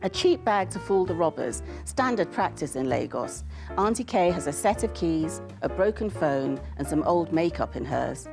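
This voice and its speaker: A woman's voice: lowish voice, lively intonation, normal speed, gravelly, over music. A woman voicing a dramatic young woman who loves to gossip, emphatic and theatrical, in a reading from a novel. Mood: dramatic, gossipy. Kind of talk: audiobook-style character reading.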